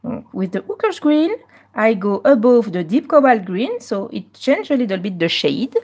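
A Belgian woman hosting a live coloring stream, chatting casually and moving between French and slightly halting English. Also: on the high side, big pitch swings, darkish tone, husky.

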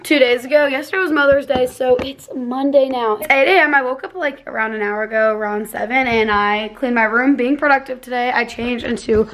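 A woman's voice: sits high, steady tone, a little fast, few pauses, a bit bright. Bubbly young woman vlogging to camera. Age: young adult. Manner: bubbly, chatty, says "like" often. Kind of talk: casual vlog chat. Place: bedroom, indoors. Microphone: handheld camera at arm's length.

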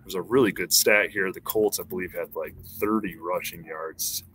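A man's voice: deep voice, fairly even intonation, normal speed, a bit bright, a little hiss or hum. A man in his thirties, a sports beat reporter, talking casually on a postgame live stream over a headset, rattling off stats with frequent restarts. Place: small indoor room.

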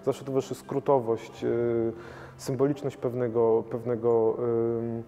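A man in his thirties talks slowly through his ideas, stopping often to find words, in a warm, mellow voice. His voice carries a long reverb from a big gallery hall, with faint noise underneath. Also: medium pitch, level tone. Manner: thoughtful, halting, many fillers and restarts. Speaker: painter. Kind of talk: gallery interview. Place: large gallery hall with paintings.

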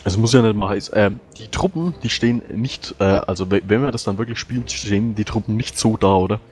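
Deep-voiced hesitant male player. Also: fairly quick, darkish tone. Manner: hesitant, thinking aloud. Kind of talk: casual strategy discussion.

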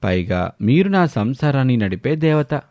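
A man acting wheedling freeloader son-in-law, fawning, overly respectful, very animated intonation, story dialogue, dry sound. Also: medium pitch, moderate pace, dry recording. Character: wheedling, shameless, flattering idler son-in-law.

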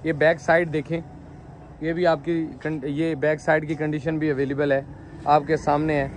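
A man in his thirties walking around a used car market outdoors, showing cars and chatting with owners in brisk, repetitive patter. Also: fairly high pitch, little pitch movement, slight background noise. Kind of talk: walkaround car showcase with owner chats.

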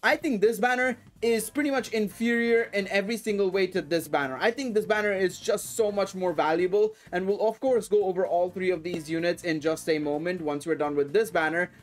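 Hoarse young man, high voice. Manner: enthusiastic, repeats himself, fast run-on explanations. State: hoarse with a sore throat. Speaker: gaming YouTuber. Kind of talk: casual commentary on a mobile game. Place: home room, in front of a screen capture. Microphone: headset.

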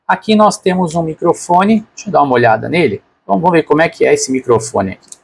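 A man's voice: fairly high pitch, expressive, fast, vocal fry, husky. A man with a Brazilian Portuguese accent narrating a product unboxing casually and unscripted, informally thinking aloud as a reviewer.

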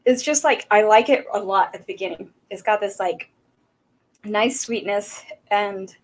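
A woman's voice: medium pitch, a little slow. A woman in her thirties from South Carolina, hosting a casual whiskey tasting livestream from a small room at home, rambling and chatty with lots of fillers. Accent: Southern American. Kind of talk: casual live-stream chat. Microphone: desk microphone on a boom arm.

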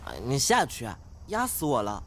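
An actor playing an earnest, oblivious teenage class monitor who talks in a preachy, naive way in an audio drama. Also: male voice, mid-range voice, huge pitch swings, normal speed, slight background noise. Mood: earnest lecturing. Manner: preachy, matter-of-fact, naive. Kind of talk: modern audio drama acting.

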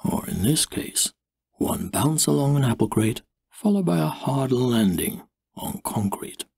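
Husky male storyteller, slow and swooping. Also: medium pitch, very animated, a little mellow, no room sound, studio quality. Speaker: audiobook narrator. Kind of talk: expressive storybook narration.

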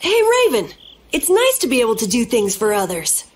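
A woman's voice: a little high, wildly swooping pitch, normal speed. A woman voicing an earnest young boy in a video game, candid and eager to prove himself. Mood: earnest, reflective. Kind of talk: video game cutscene acting.

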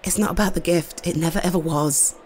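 A British woman playing an affectionate, slightly shy girlfriend in an intimate roleplay, speaking softly and hesitantly to her partner. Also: fairly low pitch, lightly acted. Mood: tender, earnest. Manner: soft, hesitant, tender, with halting repeats.

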